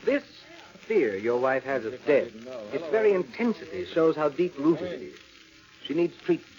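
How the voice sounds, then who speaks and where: An actor playing a self-assured, probing psychiatrist in a 1940s radio drama, deliberate and authoritative. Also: male voice, fairly high pitch, animated, gravelly, highs cut off. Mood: confident.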